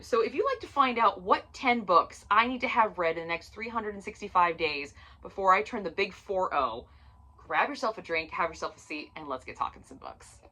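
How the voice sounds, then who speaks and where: Brief: woman, late thirties, book vlogger, bright tense voice, casual and profane, self-deprecating. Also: medium pitch. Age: 30s. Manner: chatty, profane, self-mocking. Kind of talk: casual chat to camera. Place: at home, seated on the floor.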